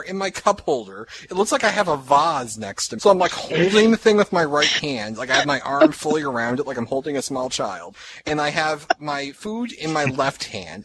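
A man's voice: a little high, fairly bright tone, rough recording. A man with a Boston accent hosting a podcast, telling long joking tangents in casual chat. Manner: rambling, joking storyteller who laughs at his own tangents. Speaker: podcast host.

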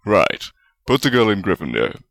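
A man's voice: on the low side, big pitch swings, moderate pace, vocal fry, fairly bright tone, no room sound, full character voice. A man voicing a hearty, stubborn, teasing male wizard spirit with a jovial manner in a storybook reading. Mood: firm.

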